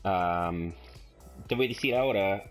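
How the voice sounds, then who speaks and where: A man's voice: low-pitched, a little slow, soft background music. A man with English as his first language and a Chilean-tinged Spanish accent, casually commentating a football video game, hesitating often and switching between Spanish and English. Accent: Chilean Spanish, English-speaking learner. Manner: mixes Spanish with English, hesitant, frequent fillers; bursts of loud excitement at plays. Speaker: gaming YouTuber.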